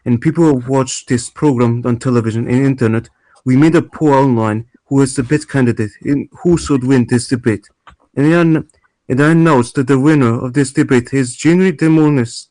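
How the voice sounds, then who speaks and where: A man's voice: medium pitch, a little mellow, dry recording, close to an everyday voice, low-quality recording. A formal male debate moderator, acted by a man in a stage-play reading. He has a mid-range voice in a dry recording. He stumbles over words and names as he keeps order. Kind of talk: amateur table read of a play.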